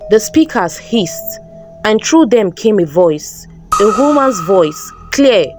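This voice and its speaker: A woman's voice: mid-range voice, very animated, slow, darkish tone. A woman storyteller giving a scripted, dramatic narration of a folktale. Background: background music.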